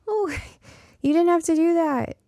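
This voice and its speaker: Woman doing a curious bookish kid daughter, earnest n stubborn, slightly creaky, storybook style. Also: very high voice, measured pace, mellow, no room sound, studio quality, voice pushed up. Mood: sheepish, casual. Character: curious, bookish, stubborn young daughter who loves science.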